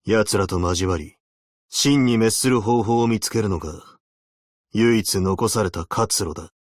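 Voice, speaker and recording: A man's voice: fairly low pitch, a little slow, no echo, studio quality, close to an everyday voice. A man voicing a stern, weary veteran abyssal hunter in a video game, speaking in a terse, solemn, commanding way. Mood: grave. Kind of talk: Japanese video game character voice lines.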